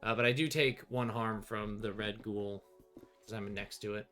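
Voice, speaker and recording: A man's voice: on the low side, steady tone, moderate pace, sharp tone, soft background music. Middle-aged man thinking aloud over a game. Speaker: solo tabletop game player and host. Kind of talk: casual play-through commentary, thinking aloud. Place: small indoor room with bookshelves.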